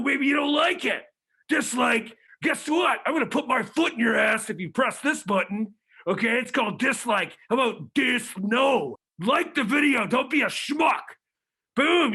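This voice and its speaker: A man's voice: very high voice, creaky, pushed and bright, exaggerated voice. A man playing a furious, boastful pro-wrestler champion who bellows insults in a wrestling-promo manner. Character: shouting pro-wrestler-style male champion, furious braggart. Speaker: comedy sketch character.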